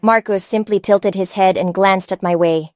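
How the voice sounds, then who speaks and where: A woman's voice: medium pitch, fairly even intonation, a little slow. A woman with a Filipino accent reading dramatic first-person scripted narration as an audiobook narrator. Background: soft music.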